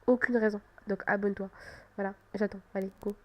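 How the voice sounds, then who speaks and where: A woman's voice: mid-range voice, fairly even intonation, fairly quick. A young woman with a cold-stuffed nose, chatting casually over a game she plays and often making fun of herself. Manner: chatty, self-mocking, laughs often. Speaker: gaming channel host. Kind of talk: casual gameplay commentary.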